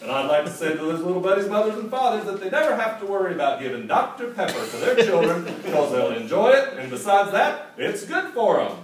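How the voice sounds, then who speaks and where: A man's voice: high-pitched, very animated, quick pace, few pauses, voice pushed up. A man voicing a folksy old-time male country bandleader in a drawling, good-natured way, recorded from afar in an echoey hall. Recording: echoey hall, distant mic, faint hiss.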